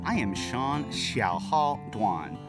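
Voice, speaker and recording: A young man from China giving a casual interview, quick and animated, with a self-mocking humor. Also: mid-range voice, loud music behind the voice. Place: outdoor festival.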